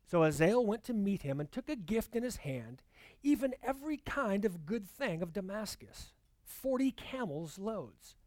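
A man preaching a sermon and reading scripture aloud in an earnest, measured way, slowing down on key words. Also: high voice, sing-song, a little mellow, dry recording.

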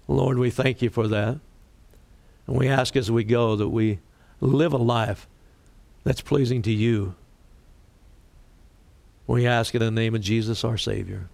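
A man preaching a sermon and leading a prayer, his voice raspy today, speaking deliberately and rising for emphasis. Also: mid-range voice, a little mellow, no echo. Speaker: pastor.

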